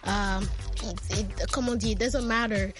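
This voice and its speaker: A woman's voice: medium pitch, fairly even intonation, unhurried. A woman co-hosting an interview, talking in a searching, conversational way with many fillers. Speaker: co-host. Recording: music playing behind.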